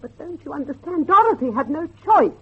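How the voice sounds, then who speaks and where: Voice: high voice, big pitch swings, brisk, a little hiss or hum. An actor playing a pious old grandmother in a period horror audio drama, solemn, impassioned and formal. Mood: grave, foreboding. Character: fervent, pious old grandmother guarding a dark family secret. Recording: dull lo-fi audio.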